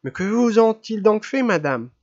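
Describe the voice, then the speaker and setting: A man's voice: high voice, sing-song, normal speed, pitched above the performer's own voice. A man voicing a polite young mother who speaks courteously, on a dull old recording. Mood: concerned.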